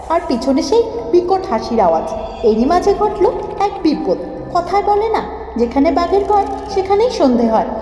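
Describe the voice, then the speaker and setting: A woman's voice: extremely high pitch, mellow. A woman voicing a timid, frightened schoolgirl who dramatically tells her ghost story in a cartoon. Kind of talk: dramatic horror story narration. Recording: echoing, long reverb, muffled lo-fi, music. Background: music.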